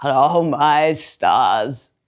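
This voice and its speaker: A woman playing a brooding, strong-willed young male villain in a deliberate, declamatory verse monologue on stage. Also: low-pitched, expressive, full character voice. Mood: anguished, defiant. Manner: declamatory, deliberate, weighty pauses. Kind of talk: theatrical Shakespearean verse monologue.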